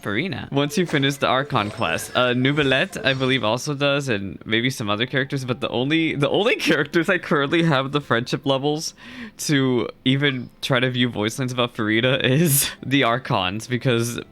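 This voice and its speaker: A man's voice: mid-range voice, moderate pace, fairly bright tone. A man hosting a reaction video, giving casual, chatty commentary.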